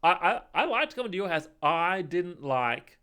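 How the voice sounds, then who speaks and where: Higher-pitched man, casual storyteller. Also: very animated, moderate pace, bright, forceful tone, clean tone. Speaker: podcast co-host.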